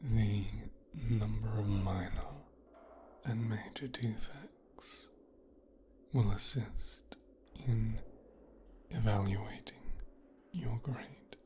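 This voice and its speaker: A man playing an arrogant, bitter young son of a mafia boss in an ASMR roleplay, coldly menacing, with a breathy half-whisper and a deliberate, condescending delivery. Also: lowish voice, steady tone, warm tone, slight background noise. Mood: coldly methodical, menacing. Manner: slow, deliberate, condescending, profane. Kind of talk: scripted ASMR roleplay, speaking directly to the listener.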